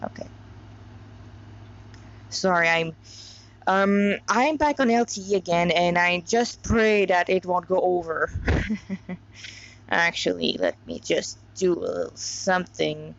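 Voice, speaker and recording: A woman's voice: fairly low pitch, slow, gravelly, long pauses, slight background noise. A woman from Montreal reading a story aloud in an informal, rambling way, often breaking off for chatty asides. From Montreal, Canada. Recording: dull lo-fi recording.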